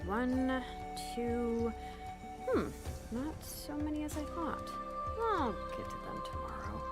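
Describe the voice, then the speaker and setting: A woman's voice: high-pitched, sing-song, takes it slowly, over loud music, heavily acted. A woman voicing a laid-back yet probing and stubborn young craftswoman in a video game. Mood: drowsy, musing.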